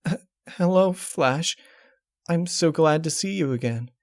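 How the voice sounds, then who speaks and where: A man's voice: fairly high pitch, a little slow, a little mellow, no echo, studio quality, voice pushed up. A man voicing a distraught, controlling young female pony princess in a storybook reading, with a pleading, emotionally strained delivery. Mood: tearful longing. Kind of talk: dramatic storybook character reading.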